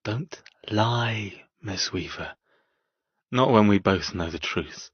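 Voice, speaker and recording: A possessive, intense captor in an audiobook romance, with a very low voice that has a husky edge. He murmurs softly and deliberately. The sound is dry and slightly dull. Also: dry recording. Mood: insistent, intimate. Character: possessive, intense man tending his wounded captive woman. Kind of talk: audiobook character dialogue.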